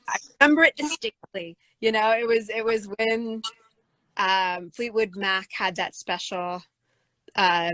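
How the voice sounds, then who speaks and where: A woman singer-songwriter with a low voice, answering interview questions thoughtfully, with many fillers and restarts. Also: fairly bright tone, dry recording, poor audio. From United States.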